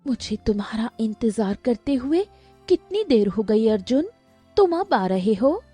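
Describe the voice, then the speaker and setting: A woman voicing a sulky, impatient young village sweetheart in an animated story. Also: a little high, a little slow, husky, faint music behind, pitched above the performer's own voice, heavily acted.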